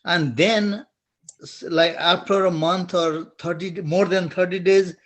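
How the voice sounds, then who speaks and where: A middle-aged man from Nepal with a strong Nepali accent who speaks in halting phrases, restarts often and searches for words while asking a question in an online webinar. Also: high voice, steady tone, fairly bright tone.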